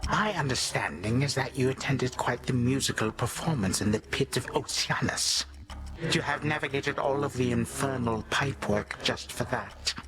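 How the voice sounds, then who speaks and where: A man's voice: mid-range voice, moderate pace, close to an everyday voice. Haughty old titan tyrant played by a man, sardonic and pompous, theatrical game villain, prominent music underneath. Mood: wry condescension. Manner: pompous, sardonic, formal archaic diction. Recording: studio voice over game music.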